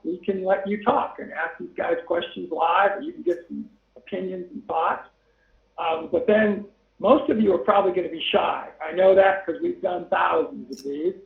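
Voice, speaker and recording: A man's voice: sits high, gravelly. A man hosting a webinar, chatting casually with many fillers. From Northern Colorado. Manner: chatty, rambling, lots of fillers. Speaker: webinar host. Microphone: video call. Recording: tinny phone-like line, roomy echo.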